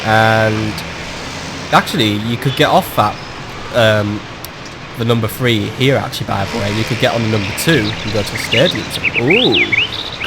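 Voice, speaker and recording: A chatty man talks through his gameplay in a loose, joking way, now and then swearing at his own luck. His voice is still a little off from a recent cold, and a steady noise sits underneath the recording. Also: on the low side. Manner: chatty, self-mocking, swears when annoyed. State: recovering from a cold. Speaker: gameplay commentator. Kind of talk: casual let's-play commentary. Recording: audible background noise.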